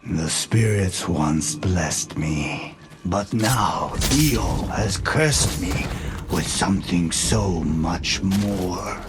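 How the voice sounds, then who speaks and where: Game boss: dark cursed warrior, deep, extremely drawn-out menace, loud score underneath. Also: male voice, over music. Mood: bitter menace. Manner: very slow, menacing.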